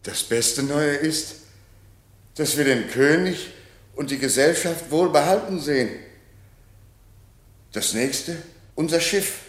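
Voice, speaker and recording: A plain, loyal seaman in a radio drama. His fairly high voice reports slowly and with emphasis. The sound is roomy and theatrical. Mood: relieved, eager. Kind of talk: German classic radio play, theatrical report.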